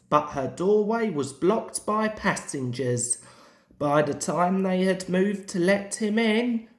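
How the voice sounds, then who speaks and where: A young man reading a children's story aloud as a narrator. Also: high-pitched. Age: young adult. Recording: roomy, echoing home recording.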